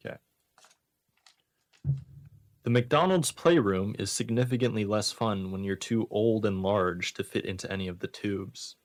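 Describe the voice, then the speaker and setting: Low-voiced man hosting a joke segment. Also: measured pace, pauses often. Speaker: host of a comedy radio variety show. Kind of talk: reading out written one-liner jokes with casual banter.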